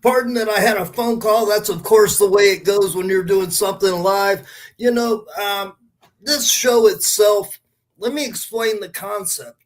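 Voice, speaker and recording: A man's voice: sits high, unhurried, rough recording. A man hosting a casual live podcast, with a showy, announcer-like way of talking. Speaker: podcast host.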